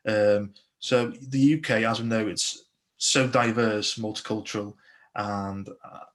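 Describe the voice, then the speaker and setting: A man's voice: on the low side, fairly even intonation, measured pace. A young adult man from Slovakia, a police constable, speaking earnestly on a video call interview with many restarts.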